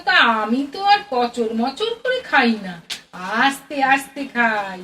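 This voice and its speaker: A woman's voice: on the high side, big pitch swings, measured pace, fairly bright tone. A woman playing a fussy, chatty middle-aged Bengali mother in an audio play, fretful and given to homely exclamations. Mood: mildly defensive. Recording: distant mic, noisy background.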